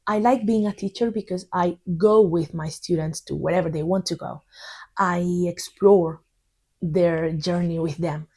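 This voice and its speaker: Husky-voiced Spanish woman teaching English. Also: on the low side, steady tone, a little slow, darkish tone. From southern Spain. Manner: thoughtful, rambling, with many fillers. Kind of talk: informal video lesson.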